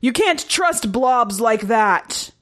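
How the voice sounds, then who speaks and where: A woman's voice: fairly high pitch, lively intonation, slow, a bit bright. A woman voicing a callous, gossipy alien crew blob with a dismissive, grumbling manner in a sci-fi story. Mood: disdainful.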